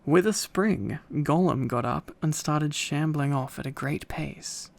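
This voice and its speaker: A man narrating a storybook in a relaxed bedtime reading style. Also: fairly high pitch, unhurried, gravelly.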